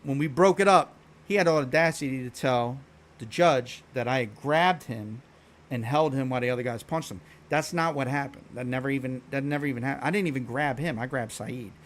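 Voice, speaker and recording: A man's voice: medium pitch, brisk. A man, a live streamer telling stories to his viewers in a blunt, profane, rambling way with lots of restarts. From Philadelphia. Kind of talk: casual storytelling chat to stream viewers.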